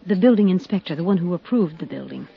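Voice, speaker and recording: Anxious American schoolteacher, vintage radio sound. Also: female voice, fairly low pitch, expressive, fast talker, gravelly, breathy edge, heavily acted. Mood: earnest, troubled. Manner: hesitant, earnest, breaking up sentences. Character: anxious, earnest small-town woman schoolteacher. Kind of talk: 1950s radio drama acting. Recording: dull vintage 1950s radio recording.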